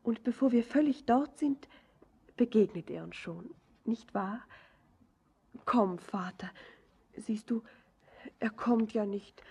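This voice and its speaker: An actress playing an anxious, lovesick young daughter in a theatrical German radio drama, breathy and often whispering, with fervent, halting, imploring delivery. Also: female voice, fairly high pitch, a little mellow, heavily acted. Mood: pleading.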